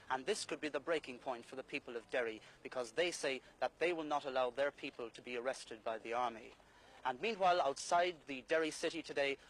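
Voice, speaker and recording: A man reports in a formal, measured way, as in a scripted piece to camera. His voice sits a little high for a man. It is an old outdoor newsreel recording with some street noise behind him. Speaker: television news reporter. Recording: noisy outdoor archive newsreel sound.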